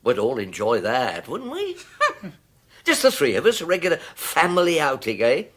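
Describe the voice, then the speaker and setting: A timid older husband who stammers and hesitates. His pitch rises and falls a good deal. It is a vintage radio play. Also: huge pitch swings, moderate pace. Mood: hesitant. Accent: British. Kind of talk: radio drama acting.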